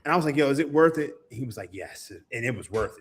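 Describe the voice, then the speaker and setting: A man's voice: mid-range voice, expressive, quick pace. A man, a podcast guest telling stories in casual chat, laughing and swearing freely. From Philadelphia, USA. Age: 30s. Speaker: podcast guest, sports writer.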